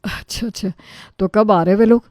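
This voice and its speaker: A woman's voice: medium pitch, normal speed, warm tone, no echo, clean studio sound, natural voice. A woman voicing an anxious middle-aged father in a storybook tale, earnest and fretful. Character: anxious middle-aged family man, timid before his sister.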